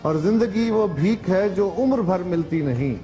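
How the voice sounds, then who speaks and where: A man with a high voice recites couplets in a measured way as a talk show guest. Faint music sits behind him. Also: lively intonation, dark tone.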